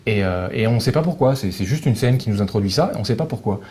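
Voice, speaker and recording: A man's voice: fairly low pitch, lively intonation, fast, darkish tone. A man speaking casually in an interview as a guest, telling stories in colloquial speech. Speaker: guest publisher of visual novels.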